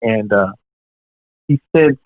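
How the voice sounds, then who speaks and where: A man telling a story as a guest in an interview, casual and animated, with many fillers and restarts. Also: fairly low pitch, slow pace, no echo, dull audio. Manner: animated, lots of "you know" fillers and restarts. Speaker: guest drummer.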